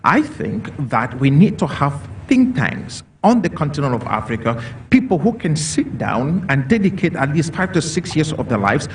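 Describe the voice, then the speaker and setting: A man's voice: fairly high pitch, very animated, normal speed. A man from Cameroon speaking as a panelist in a discussion, earnest and repetitive, with many fillers. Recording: distant mic with faint noise.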